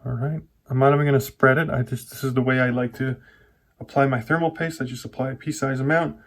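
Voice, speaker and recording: A man with a mid-range voice gives an informal hands-on tech commentary. He speaks in a halting way, feeling for the right word and correcting himself as he explains things to his viewers. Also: mid-range voice, fairly even intonation. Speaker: tech vlogger. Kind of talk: casual hands-on PC build commentary.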